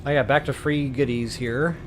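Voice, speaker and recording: A man's voice: mid-range voice, steady tone, normal speed, slight background noise. A man giving casual, slangy gameplay commentary as the host of a walkthrough. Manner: slangy, informal. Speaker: let's play walkthrough host.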